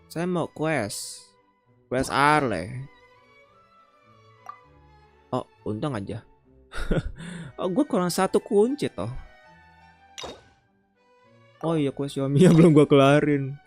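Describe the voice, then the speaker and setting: A man's voice: a little high, expressive, a little slow, pauses often, darkish tone, soft background music. A man with an Indonesian accent giving casual, slangy gameplay commentary as a streamer. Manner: slangy, informal. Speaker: game streamer.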